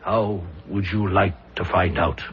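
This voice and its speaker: Measured lawyer, muffled radio drama. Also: male voice, deep voice, steady tone. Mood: probing. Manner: measured, hesitant pauses, persuasive. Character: pragmatic, pressing defense lawyer, male, measured and skeptical. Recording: muffled, noisy old radio recording.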